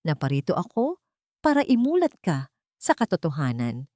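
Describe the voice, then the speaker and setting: A woman voicing a calm, manipulative older rich father in a story, speaking deliberately and cuttingly. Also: sits low, no room sound, clean studio sound. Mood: calm menace.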